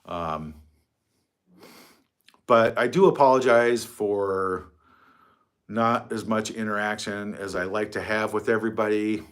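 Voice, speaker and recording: A man's voice: lowish voice, a little slow, pauses often. A middle-aged man hosting a casual livestream from his phone, rambling, mocking and swearing freely. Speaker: livestream host.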